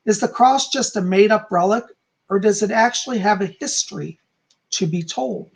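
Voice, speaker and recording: A calm, soft-spoken man who is hoarse and losing his voice, teaching on a livestream and reading aloud from a book. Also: high-pitched, moderate pace, slight background noise. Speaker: psychic teaching about folk magic.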